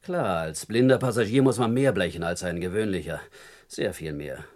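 An actor playing a brazen, menacing criminal on the run in a vintage radio crime play, with a mocking, slangy manner. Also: male voice, low-pitched, normal speed, a little mellow. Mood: calculating.

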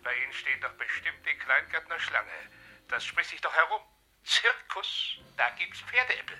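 A man's voice: fairly high pitch, very animated, normal speed, sharp tone, exaggerated voice. An actor playing a chatty, matter-of-fact male railway dispatcher talking over the telephone in a 1980s German radio drama. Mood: jovial. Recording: telephone voice, music behind.

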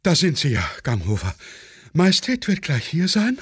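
A man putting on a fussy aide-de-camp, urgent and coaxing, his voice raised high and loud. It is a dry, close radio-play recording. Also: huge pitch swings, normal speed, slightly rough, no room sound, heavily acted. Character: hurried eager male aide-de-camp, fussy and anxious.

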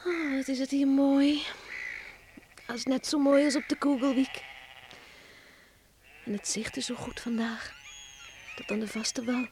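Casting: young country girl in radio drama, chatty and dreamy, high voice, slow delivery. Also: fairly even intonation, darkish tone, a little hiss or hum. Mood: wistful, musing. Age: young adult. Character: young island farm girl, cheerful, wistful, chatty. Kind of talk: Dutch radio play acting.

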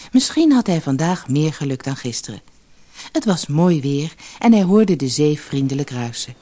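A woman reading a children's fairy tale as a storyteller, in an expressive, measured narrating style. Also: sits low, husky. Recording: noisy old cassette audiobook.

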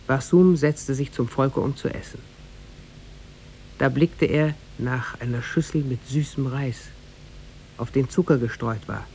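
A man narrating a tale in a 1950s German radio play, formal and measured. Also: medium pitch, mellow, slight background noise, lightly acted. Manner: formal, measured storybook delivery.

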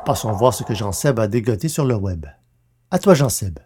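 A man's voice: fairly low pitch, animated, normal speed, background noise. A man hosting a podcast, reading scripted narration aloud. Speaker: podcast host. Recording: roomy echo, music behind. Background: music.

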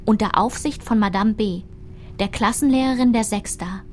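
An actress playing a woman who recalls her painful girlhood, narrating a literary radio drama in a measured, reflective way. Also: mid-range voice, darkish tone, a little hiss or hum, lightly acted.

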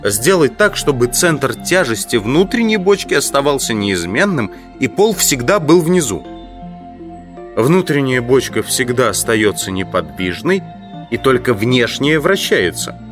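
A man playing a pensive entomologist who thinks aloud in an audiobook drama, with deliberate, reflective phrasing. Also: medium pitch, big pitch swings. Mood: musing. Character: pensive entomologist absorbed in abstract speculation. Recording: music behind the voice.

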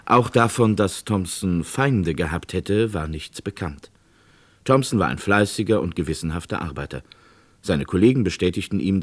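A man narrating a scripted true-crime radio drama in a measured, matter-of-fact way. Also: sits low.

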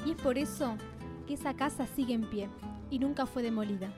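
A woman's voice: on the high side, brisk, lightly acted. A woman playing a wistful storyteller, reading scripted radio play narration. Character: wistful female storyteller closing a magical tale. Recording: guitar music behind the voice.

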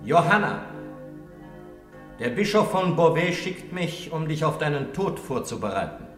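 An actor playing a priest who gently urges a condemned prisoner in a classic radio play. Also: male voice, a little high, sing-song, measured pace, reverberant, faint music behind. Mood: pleading. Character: male priest sent to prepare a prisoner for death, pleading.

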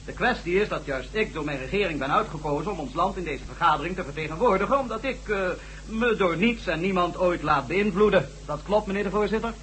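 A pompous, haughty delegate. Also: male voice, high voice, lively intonation, brisk, fairly bright tone, slight background noise, rough recording. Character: pompous middle-aged male delegate. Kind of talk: 1970s radio drama acting.

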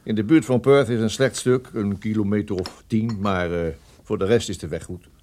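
A man's voice: on the low side, moderate pace, darkish tone, lightly acted. An actor playing a chatty, helpful travelling businessman in a radio play, folksy and emphatic in his way of talking. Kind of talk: radio drama dialogue.

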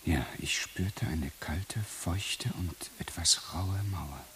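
A deep-voiced dazed man pieces his memories together in a halting, often whispered radio monologue. The old recording carries clear tape hiss. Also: fairly bright tone. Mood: hushed, entranced. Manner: halting, repeats prompts, often drops to a whisper. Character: dazed man slowly recalling his regained senses. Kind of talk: radio play acting, monologue. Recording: hissy early 1980s radio play recording.

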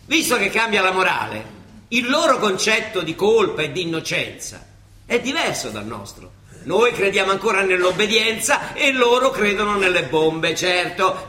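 An actor playing an older monsignor and judge with a Roman accent, halting, colloquial and ironic, in radio theatre style. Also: male voice, high-pitched, sing-song, sharp tone, roomy sound, full character voice. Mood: defiant, ironic. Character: old Roman monsignor judge, wry, rambling, quietly defiant.

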